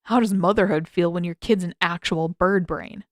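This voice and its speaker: A cocky teenage boy acted by a woman banters in an audiobook. He is brash, animated and sarcastic, quick to tease and protective underneath. The recording is dry and close. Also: on the low side, no room sound, lightly acted. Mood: teasing. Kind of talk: audiobook character voice.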